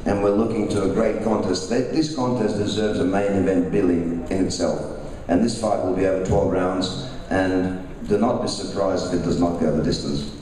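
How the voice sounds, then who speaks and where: Low-voiced man in an echoey hall. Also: fairly even intonation, warm tone, a little hiss or hum. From Russia, raised in Australia. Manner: halting, restarts sentences, formal introductions. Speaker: boxing promoter. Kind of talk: press conference announcing. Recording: echoey hall, mic far off.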